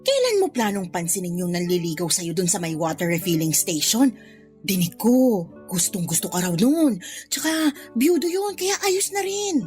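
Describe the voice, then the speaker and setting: A woman with a Filipino accent playing a tactless, sharp-tongued middle-aged aunt in a radio drama, blunt and quick to defend herself. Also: medium pitch, very animated, lightly acted. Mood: nosy prodding. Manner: blunt, brazen, swooping emphatic delivery. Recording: music behind the voice.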